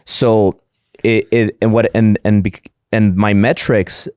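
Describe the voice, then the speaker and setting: A man's voice: lowish voice, flat delivery, takes it slowly, darkish tone, smooth, no echo, clean studio sound. A middle-aged man whose first language is Spanish, talking eagerly in a casual interview, often repeating words and restarting sentences. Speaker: podcast guest, entrepreneur.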